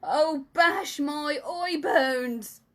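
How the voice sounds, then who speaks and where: A woman voicing a big, excitable male giant from a storybook who cries out in mangled words. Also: sits high, very slow, pitched above the performer's own voice. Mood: distressed.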